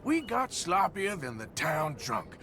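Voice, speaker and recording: An actor playing a weary, blunt outlaw gunslinger in a video game, with a gravelly drawl and a wary manner. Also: male voice, high voice, sing-song, slow pace, full character voice. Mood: worried, reproachful. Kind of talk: video game cutscene acting. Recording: music behind.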